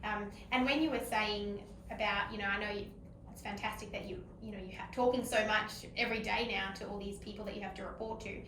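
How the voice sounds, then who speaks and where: A woman's voice: mid-range voice, fairly even intonation, brisk, a bit bright. Woman interviewer in an echoey room. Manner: affirming, encouraging. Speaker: interviewer, speech pathologist. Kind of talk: conversational interview. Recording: echoey room, faint background noise.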